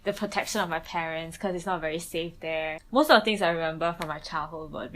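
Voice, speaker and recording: A woman's voice: deep voice, fast talker, fairly bright tone. A young woman from Bangladesh talking casually about her life in an interview, with lots of "like" and "um" fillers. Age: young adult. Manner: chatty, frequent "like" and "um" fillers, self-restarts. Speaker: student interviewee.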